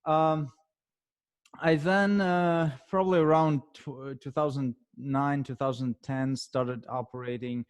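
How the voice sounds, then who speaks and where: A man in his forties from Ukraine with a Ukrainian accent, answering interview questions in a halting way with many fillers and restarts. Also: mid-range voice, fairly even intonation, smooth, no room sound. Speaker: guest author and consultant.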